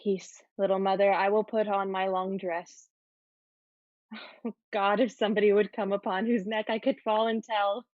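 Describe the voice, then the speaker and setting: A woman playing a dreamy, yearning adolescent girl in a stage-play reading, musing in a halting, wistful way. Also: mid-range voice, level tone, no echo, narrow, tinny audio, lightly acted.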